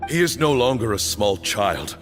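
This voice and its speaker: Deep, stern temple leader. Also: male voice, sing-song. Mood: commanding, resolute. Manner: formal, measured, grave. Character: stern temple leader, bitter, solemn and commanding. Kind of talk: dramatic video game voice acting. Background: background music.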